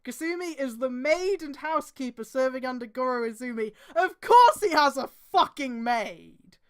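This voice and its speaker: High, clear-voiced woman streamer. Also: expressive, normal speed. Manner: exasperated, sweary asides. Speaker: streamer reading fan fiction aloud. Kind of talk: live read-aloud with mocking commentary.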